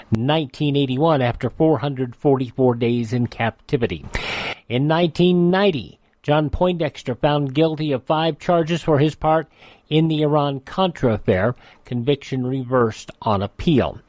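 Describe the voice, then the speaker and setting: A man's voice: a little high, darkish tone, no room sound. An older American man hosting radio, reading history items in a narrating style with chatty personal asides. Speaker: radio host. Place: radio studio.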